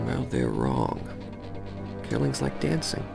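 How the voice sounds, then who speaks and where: Young assassin antihero for game narration: cynical, cocky, crude, fry-edged delivery, music playing loud underneath. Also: male voice, mid-range voice, wildly swooping pitch, takes it slowly, full character voice. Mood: cool, menacing. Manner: sardonic, crude, direct address to the listener. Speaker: narrator and protagonist. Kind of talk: scripted video game narration.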